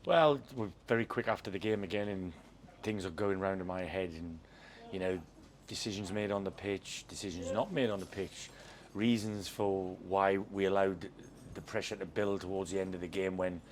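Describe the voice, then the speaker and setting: Frank football boss, low voice, post-match interview with faint background hiss. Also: male voice, moderate pace. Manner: frank, reflective, repeats words while thinking. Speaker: football manager. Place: football stadium.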